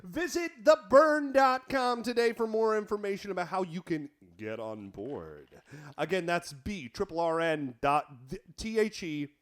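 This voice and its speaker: A man's voice: high-pitched, animated, measured pace, fairly bright tone. A young man co-hosting a comedy podcast, riffing playfully and reading sponsor lines between crude jokes. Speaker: podcast co-host. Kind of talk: casual comedic chat.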